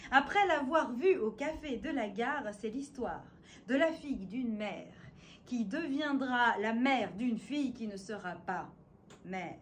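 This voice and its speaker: A woman with a fairly high voice delivers a theatrical spoken-word poem. She declaims very slowly, placing dramatic pauses and emphasis between phrases. Faint ambient noise sits behind her. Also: expressive. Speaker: poet and stage performer.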